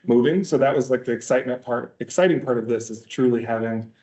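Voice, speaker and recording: Mellow-voiced man presenting to a board. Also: mid-range voice, quick pace. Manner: relaxed, conversational with many fillers. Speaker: district curriculum presenter. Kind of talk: presenting at a school board workshop. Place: meeting room.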